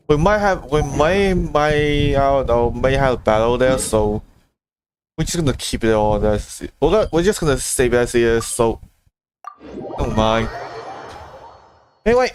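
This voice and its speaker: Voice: mid-range voice, slight background noise. A video game streamer giving casual, rambling live commentary and reacting aloud to the game.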